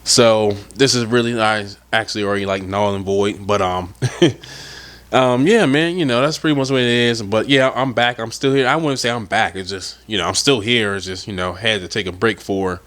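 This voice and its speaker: A man's voice: fairly low pitch, moderate pace, a bit bright. A young man hosting a casual solo podcast, rambling and cursing freely, sounding a little stuffed up from a head cold. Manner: rambling, profane, laid-back. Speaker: podcast host.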